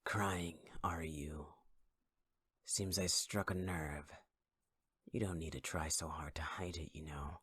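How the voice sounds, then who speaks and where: A man voicing a taunting young male fire villain in an audio roleplay, speaking in a husky, mocking, intimate voice with close whispers. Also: a bass voice, steady tone, takes it slowly, fairly bright tone, full character voice. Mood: cruel. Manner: mocking, cruelly patient, intimate. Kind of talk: ASMR audio roleplay acting.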